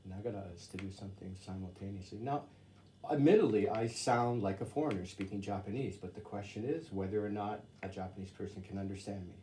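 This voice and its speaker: A man's voice: lowish voice, quick pace, mellow, a little hiss or hum. A man who teaches English in Japan, a native English speaker, talking casually to camera and explaining Japanese sentences with plenty of fillers. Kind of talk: casual talk to camera, reading sentences aloud.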